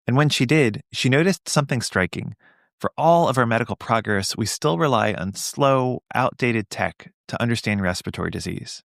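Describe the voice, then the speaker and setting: Guy hosting a podcast, measured, read-out intro, lowish voice, dry sound. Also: vocal fry, a bit bright, no room sound. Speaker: podcast host and surgeon. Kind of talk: scripted intro narration, then interview chat.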